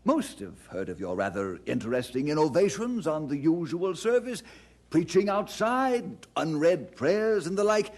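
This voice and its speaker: Flustered, effusive clergy envoy, very animated pitch, husky edge, old-fashioned radio-play manners. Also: male voice, measured pace, exaggerated voice. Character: fussy, eager, deferential male church representative. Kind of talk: radio drama acting.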